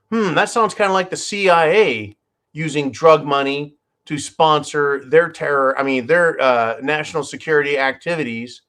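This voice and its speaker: A man's voice: a little high, fairly bright tone. A man hosting his own channel, giving opinionated news commentary in a sarcastic, profane way and often addressing his listeners as folks. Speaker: channel host.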